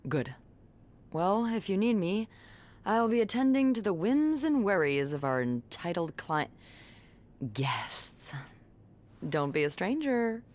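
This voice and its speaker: An actress playing a sardonic, playful receptionist in a 1950s hotel mystery game, with a wry, teasing, conspiratorial manner. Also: female voice, medium pitch, sing-song, slow pace, long pauses, darkish tone. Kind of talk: video game cutscene acting.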